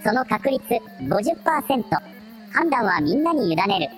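ラジオドラマで、簡潔な報告口調で話す忠実で分析的な機械の相棒を演じる女性。. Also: female voice, a little high, sing-song, normal speed, soft background music. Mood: analytical. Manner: terse formal report-like phrasing. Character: loyal analytical machine assistant, precise and matter-of-fact. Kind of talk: amateur anime-like radio drama acting.

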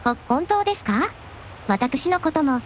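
A woman playing a young dragon princess in a radio drama, devoted and gentle, with refined, deferential, ladylike speech. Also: sits high, sing-song, a little slow, slight background noise. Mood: touched. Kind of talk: Japanese radio drama acting.

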